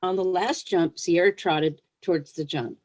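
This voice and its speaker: A woman with a distinctly low-pitched voice speaks as a children's book author. She is a guest on a storytime show, sharing her work and thoughts in an easy, plain-spoken way. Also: normal speed, a little mellow. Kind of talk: storybook read-aloud, then casual interview answers.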